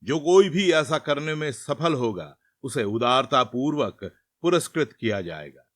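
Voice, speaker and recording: A man's voice: medium pitch, animated, unhurried, fairly bright tone, no echo, studio quality. A man voicing a commanding ancient king in a storybook tale, imperious and declamatory. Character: commanding ancient king issuing a royal decree.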